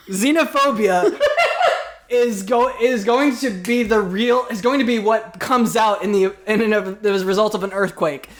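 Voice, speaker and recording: A man with a notably high voice co-hosts an improv comedy show. His delivery is casual and playful, full of loose banter between games. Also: lively intonation, slow, long pauses, a little hiss or hum. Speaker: podcast co-host.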